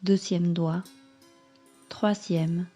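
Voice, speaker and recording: A woman yoga teacher calmly leading a guided meditation in measured, instructing phrases. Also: lowish voice, soft background music. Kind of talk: slow guided meditation narration.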